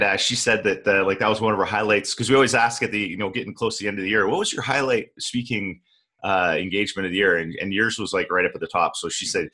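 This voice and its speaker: A man's voice: on the low side, bright, forceful tone. A man hosting a podcast, chatting in a friendly, loose way with many fillers. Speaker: podcast host. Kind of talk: casual interview with scripted intro.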